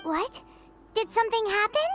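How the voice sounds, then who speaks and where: A woman voicing a small, childlike, excitable fairy companion in an anime-style video game, squeaky and exclamatory. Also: normal speed. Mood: surprised, pleading. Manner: exclamatory, speaks of herself in third person. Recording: game music behind the voice.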